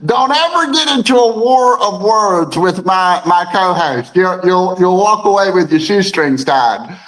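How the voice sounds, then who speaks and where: Male co-host, deep voice, rambling conversational delivery, reads comments aloud. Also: measured pace, fairly bright tone, rough recording. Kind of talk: casual morning show chat.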